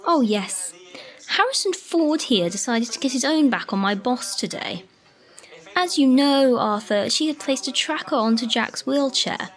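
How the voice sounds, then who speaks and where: Radio play: wry female carer actress, highish animated voice, conspiratorial, mock-scolding. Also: a little slow. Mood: amused, explaining. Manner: sardonic, knowing, mock-scolding. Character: wry, conspiratorial female care-home worker, knowing and affectionate. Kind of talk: amateur radio play acting.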